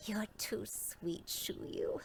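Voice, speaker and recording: A woman playing a frail, gentle old grandmother in a video game, breathy and halting, often near a whisper. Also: mid-range voice. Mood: tender, wistful. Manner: slow, halting, wistful. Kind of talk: dramatic video game voice acting. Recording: music under the voice.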